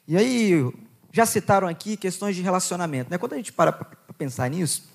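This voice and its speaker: A young man with a Goiás accent preaching to a youth conference, joking with the crowd, reading scripture and praying in a quieter, lower voice. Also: lively intonation, a little fast, gravelly. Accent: Goiás Brazilian Portuguese. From Goiás, Brazil. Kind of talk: sermon with Bible reading and prayer.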